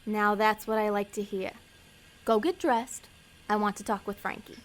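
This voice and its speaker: A woman playing a scheming, bossy female agent of Hell in a comedic audio drama, brisk, persuasive and easily exasperated. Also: medium pitch, fairly even intonation, close to an everyday voice. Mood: coaxing, firm.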